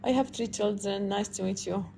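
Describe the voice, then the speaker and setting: Woman, 30s, from Syria, hesitant halting English, gravelly, faint background noise. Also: medium pitch, steady tone, dark tone. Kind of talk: casual chat.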